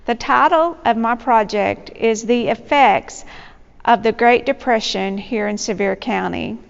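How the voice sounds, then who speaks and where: A woman's voice: a little high, smooth. Woman reading a project narration, echoing. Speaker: student presenting a history project. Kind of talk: read narration. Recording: dull lo-fi audio with long reverb.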